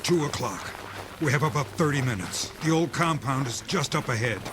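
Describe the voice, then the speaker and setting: A man's voice: medium pitch, animated, normal speed, background noise, close to an everyday voice. A man playing a weary, fatalistic scientist and insider at the end of the world, speaking in a resigned, blunt, explanatory way. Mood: grim, resigned. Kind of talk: dramatic acting.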